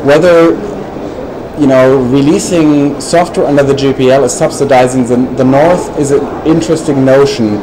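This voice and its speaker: Man on a panel answering a question, calm and measured, says you know a lot, hissy recording. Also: mid-range voice. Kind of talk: panel discussion reply. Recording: noisy recording.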